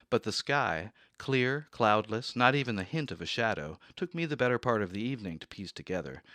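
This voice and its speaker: A man voicing a fussy, precise accountant who narrates in a measured, dry way. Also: on the low side, no echo. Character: fussy, precise middle-aged male accountant, rigid and easily rattled. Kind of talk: radio drama narration. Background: soft music.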